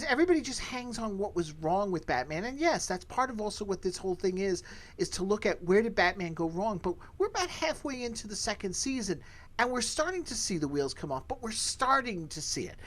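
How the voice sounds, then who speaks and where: A man's voice: high-pitched, fairly bright tone. A man hosting a podcast, giving his opinions in a casual, emphatic way and repeating phrases for stress. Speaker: podcast host.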